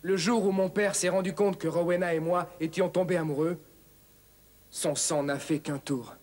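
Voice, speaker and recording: A man's voice: high voice, steady tone, normal speed, a little mellow, natural voice. A man playing an earnest, honourable young knight in a cartoon, speaking formally. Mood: regretful. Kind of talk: dubbed cartoon acting.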